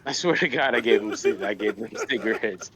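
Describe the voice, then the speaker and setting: A man's voice: on the low side, animated, brisk, a bit bright, slightly rough. Laid-back man in his late thirties. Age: late 30s. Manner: laid-back, profane, drawling. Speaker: friend on stream. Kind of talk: casual chat.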